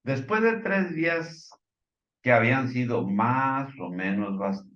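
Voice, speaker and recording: Slow-talking Mexican man in a roomy recording. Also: medium pitch, animated, a bit bright, dull audio. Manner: halting, hesitant delivery with filler words and stumbles. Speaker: commentator. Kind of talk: informal news commentary, partly reading reports.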